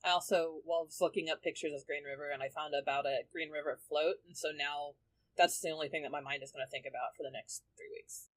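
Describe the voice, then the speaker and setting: A woman's voice: low-pitched, fairly even intonation, fast, low-quality recording. A woman chatting casually as a player on a tabletop podcast, talking emphatically and swearing freely. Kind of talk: casual chat.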